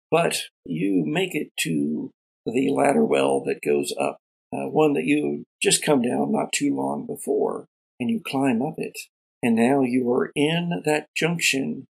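A man narrating a tabletop sci-fi game as game master, measured and descriptive with a gravelly, husky voice. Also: mid-range voice, poor audio.

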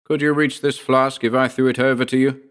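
A man's voice: mid-range voice, level tone, fairly quick, pitched above the performer's own voice, close to an everyday voice. A man voicing a proud aristocratic landowner with a fierce, haughty manner in a storybook tale. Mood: grudging pity. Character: proud, fierce aristocratic landowner, indignant at his trapped enemy.

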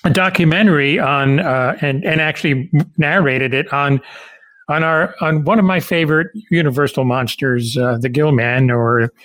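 A man's voice: a little high, moderate pace, a bit bright. A chatty, enthusiastic middle-aged or older man interviewing a guest in a casual way.

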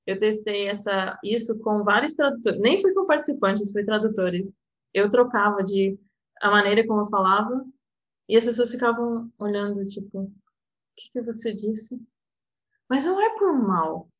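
A woman's voice: medium pitch, animated, normal speed, muffled recording. A woman from Portugal with a European Portuguese accent, an interpreter talking reflectively and personally, often laughing and adding "sabe?". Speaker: interpreter and guest. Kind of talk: reflective casual conversation.

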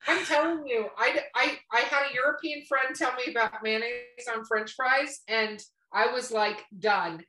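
A woman's voice: fairly high pitch, unhurried, hardly any pauses, bright, forceful tone. A woman hosting a podcast in casual chat, with a blunt, teasing, sweary way of talking. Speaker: podcast host. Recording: roomy echo, distant mic, faint music.

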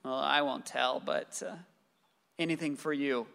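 An actor playing an excitable, adoring neighbor in a radio play, earnest and effusive in his devotion. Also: mid-range voice, measured pace, vocal fry, clean tone, in a large hall. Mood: eager, feisty.

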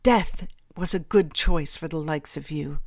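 An angry, unrestrained girl, voiced by a woman narrator in a fantasy audiobook. She is scornful and cold, biting out her retorts in a low, dry voice. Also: a little slow, creaky, no room sound, exaggerated voice.

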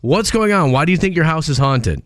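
High-voiced man hosting morning radio. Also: expressive, fairly quick, no room sound, clean studio sound. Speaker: morning show co-host. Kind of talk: upbeat radio banter and explaining. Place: radio studio.

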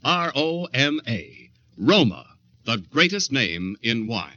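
A man with an American accent reading a scripted 1940s radio advertisement in a polished, deliberate announcer's style. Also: mid-range voice, very animated, sharp tone, no echo. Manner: polished, deliberate salesman delivery. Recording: dull, dry 1940s radio recording.

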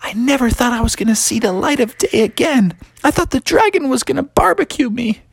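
A frightened little animal captive in a storybook, voiced by a man. The voice jumps up high with shaky relief and moves around a lot. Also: animated, a little fast, creaky, husky, no echo, exaggerated voice.

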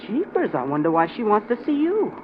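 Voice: medium pitch, huge pitch swings, brisk. An actor playing an eager, slangy American teenage boy in a 1940s radio drama. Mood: eager, curious. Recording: dull, very noisy old radio recording.

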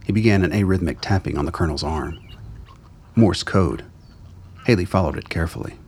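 A man's voice: sits low, fairly quick, gravelly, a little mellow, a little hiss or hum. A man reading scripted dramatic narration for a radio play.

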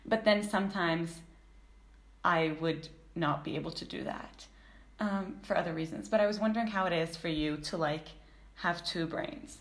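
A woman host whose first language is Turkish muses aloud as she asks her question. Her somewhat low voice carries a roomy echo. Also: normal speed. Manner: musing, thinks aloud. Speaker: podcast host. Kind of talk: casual podcast conversation.

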